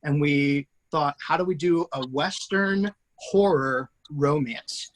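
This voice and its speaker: A man's voice: on the high side, slow pace, low-quality recording. A man, the writer and host of an online table read, talking casually with many fillers and restarts over a video call.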